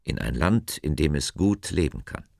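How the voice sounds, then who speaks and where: A man's voice: extremely low pitch, animated, moderate pace, gravelly, darkish tone, dry recording, studio quality, exaggerated voice. A man voicing God as a stern, commanding deity in a Bible story, speaking solemnly and emphatically. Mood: solemn, resolute.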